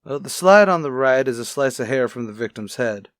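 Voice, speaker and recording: A man playing a calm, sober police detective partner in a radio crime drama, low-key and matter-of-fact. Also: brisk, no echo, clean studio sound, lightly acted. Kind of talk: old-time radio crime drama acting.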